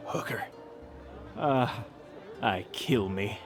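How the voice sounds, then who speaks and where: A man voicing a smug, pun-loving joker with drawn-out comic delivery in a fantasy audio drama. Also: medium pitch, expressive, music in the background, crowd noise, voice pushed up. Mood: smug, teasing.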